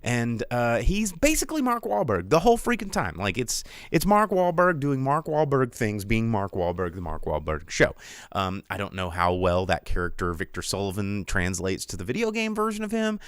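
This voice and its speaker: A man's voice: mid-range voice, big pitch swings, normal speed, clean tone, no echo, studio quality. A man in his forties hosting a casual solo movie livestream, rambling and joking with a sarcastic streak. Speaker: movie review show host.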